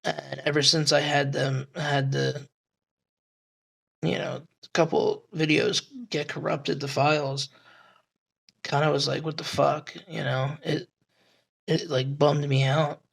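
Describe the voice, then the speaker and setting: A man with a raspy, hoarse voice, rambling casually about a knife with lots of fillers and swearing. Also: a little high, flat delivery, slow, pauses often, a bit bright. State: hoarse at night. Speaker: knife reviewer. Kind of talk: casual talk to camera.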